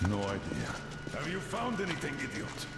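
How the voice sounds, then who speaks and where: An actor playing a gruff, bitter military commander in a video game, talking in a brusque way, swearing and barking orders. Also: male voice, mid-range voice, huge pitch swings, normal speed. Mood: weary. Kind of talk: video game cutscene acting. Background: loud game score music.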